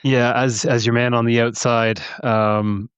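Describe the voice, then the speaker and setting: A man in casual podcast conversation, explaining things in a measured way, with a slightly dull recording. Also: mid-range voice, little pitch movement, clean tone, dry recording.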